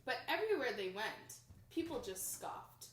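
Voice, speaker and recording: Young woman doing a kids book read aloud, highish voice, super expressive, kinda echoey home recording. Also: normal speed. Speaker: storybook reader. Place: small plain room indoors.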